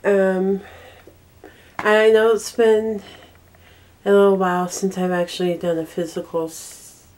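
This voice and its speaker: A young woman vlogging casually with a cold, speaking in a halting, stop-and-start way in a bedroom. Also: medium pitch, little pitch movement, darkish tone. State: congested with a cold. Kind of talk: casual monthly favorites vlog. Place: small bedroom, indoors.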